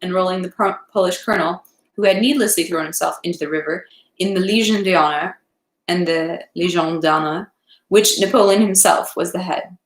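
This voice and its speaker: A woman's voice: lowish voice, normal speed, roomy sound. A woman reading a classic novel aloud in an unpolished amateur way, with occasional stumbles. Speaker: reader of a read-aloud series. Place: indoors at home, echoey room.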